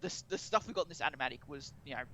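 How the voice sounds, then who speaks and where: A man's voice: a little high, lively intonation, rapid-fire, pushed and bright, a little hiss or hum. A man co-hosting a podcast in casual discussion, rambling and often rephrasing mid-sentence. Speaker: podcast co-host.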